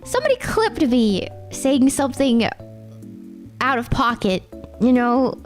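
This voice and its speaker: A woman's voice: high voice, big pitch swings, unhurried, faint music behind. A young Canadian woman with a Canadian accent, streaming and chatting casually, rambling and talking straight to her viewers. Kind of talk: casual chat with chat readers.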